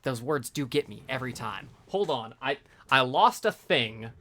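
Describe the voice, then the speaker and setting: Streamer, bright forceful voice, animated pitch, casual read-aloud commentary, informal, sweary interjections. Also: moderate pace. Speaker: streamer reading a review aloud. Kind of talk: casual live commentary mixed with reading aloud.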